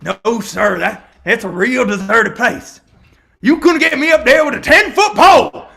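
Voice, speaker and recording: A superstitious old country fellow in a vintage radio drama. His voice is high and creaky, leaping around as he rambles, stumbles and repeats himself in rustic speech. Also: animated, a little slow, bright, forceful tone, heavily acted. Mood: agitated, insistent. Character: folksy, excitable, superstitious old man, rural yarn-spinner.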